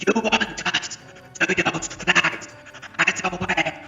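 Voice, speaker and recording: A man voicing a surly, scornful reptilian sea creature commander for a fan audio drama, slow and menacing with clipped replies. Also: high voice, fairly even intonation, bright, forceful tone, highs cut off, exaggerated voice. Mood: weary impatience. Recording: distant, echoing, with music behind.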